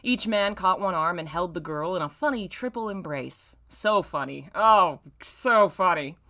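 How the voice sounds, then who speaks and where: Low-voiced woman reading with wry asides. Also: expressive, a little slow, sharp tone. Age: 30s. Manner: self-mocking, wry, theatrical emphasis when reading. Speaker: streamer reading own old fan fiction.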